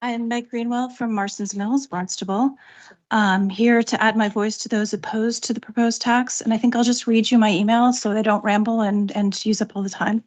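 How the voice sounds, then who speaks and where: A woman's voice: fairly high pitch, steady tone, normal speed. A young woman with a cold and a fading voice, earnestly reading a prepared public comment at a meeting, with frequent ums. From Cape Cod, Massachusetts. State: has a cold, losing her voice.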